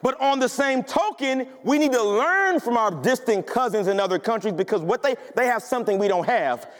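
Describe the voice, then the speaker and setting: A man's voice: very high voice, expressive, normal speed. A male pastor delivering a sermon in a church, talking in an emphatic, conversational way and asking the congregation questions. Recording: long hall reverb.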